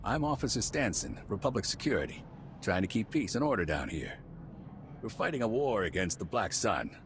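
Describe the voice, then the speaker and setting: An earnest male security officer in a video game. His voice is deep and determined, heard over clear vehicle rumble. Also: sing-song, fairly quick. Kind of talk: scripted video game dialogue.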